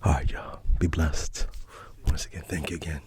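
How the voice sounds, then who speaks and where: A man giving a soft-spoken, partly whispered ASMR ramble in a folksy, halting, repetitive way. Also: very deep voice, little pitch movement. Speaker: ASMR host.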